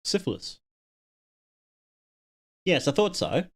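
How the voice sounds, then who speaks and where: A man's voice: fairly high pitch, big pitch swings, slow pace. A man hosting a casual livestream, chatting to viewers and thinking aloud in a rambling, opinionated way. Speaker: livestream host.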